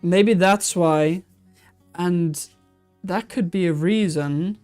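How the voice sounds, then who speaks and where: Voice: medium pitch, very slow, a little mellow, soft background music. A live-stream host chatting casually while reacting to old aquarium videos, thinking aloud with many restarts and answering viewer comments.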